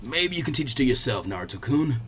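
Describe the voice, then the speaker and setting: A man voicing an aged village leader, kindly but gently stern, in measured grandfatherly tones for a fan fiction audiobook. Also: mid-range voice, sing-song, husky, pitched below the performer's own voice, exaggerated voice. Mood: patient, instructive.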